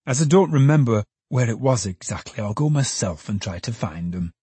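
Guy doing a jittery old porter, rushing his words, bit husky, dry sound. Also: medium pitch, expressive, mellow, no echo. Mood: fussy. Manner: hurried, breathless, chattering. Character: old male ticket porter, fussy and quick-talking.

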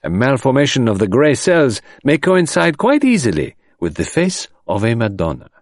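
A man voicing a courteous, shrewd detective in an audiobook, with a gentle, ironical, emphatic manner. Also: mid-range voice, big pitch swings, normal speed, no room sound, clean studio sound, voice pushed up. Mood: gentle irony. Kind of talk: audiobook character voice.

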